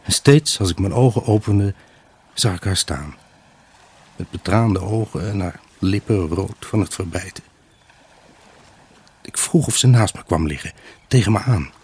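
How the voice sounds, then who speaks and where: Husky low-voiced brooding husband. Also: male voice, expressive, unhurried, pauses often, a little mellow, exaggerated voice. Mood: reflective, weary. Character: troubled, brooding husband recounting his unhappy marriage. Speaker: actor narrating a radio drama. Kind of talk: dramatic first-person monologue in a Dutch radio play.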